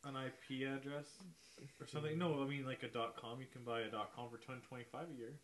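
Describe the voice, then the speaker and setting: A man's voice: medium pitch, level tone, fast talker, fairly bright tone. A young man chatting casually as a guest on a podcast. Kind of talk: casual chat.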